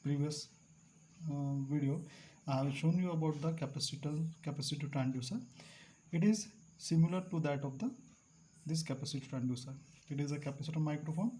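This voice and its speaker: A male teacher explaining a device to students, repeating key terms slowly and clearing his throat now and then. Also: a little high, dark tone, slight background noise. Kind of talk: classroom lecture at a board.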